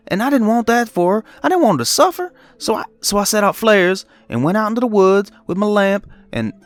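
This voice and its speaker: A man's voice: high voice, no room sound. A man voicing a guilt-ridden trucker who stammers and pleads through a horror story confession. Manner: stammering, repeating words, pleading. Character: distraught guilt-ridden male trucker confessing a fatal accident. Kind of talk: dramatic horror story monologue.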